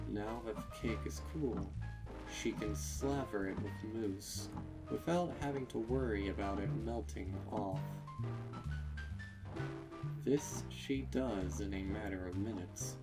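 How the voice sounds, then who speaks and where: A man reading a scripted dark story as narrator, in a measured, deliberate and suspenseful way. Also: on the low side, rough recording. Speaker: narrator of a horror fan fiction reading.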